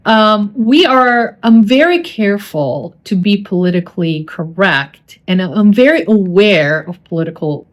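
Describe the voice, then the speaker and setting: Woman with an Arabic accent, solo podcast host. Animated and quick, restarts her sentences often. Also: mid-range voice. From Saudi Arabia. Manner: animated, fast-talking with frequent restarts and fillers.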